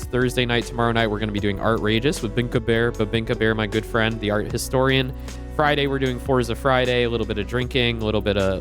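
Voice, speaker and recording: A man streaming a retro game, chatting casually and greeting viewers by name. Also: mid-range voice.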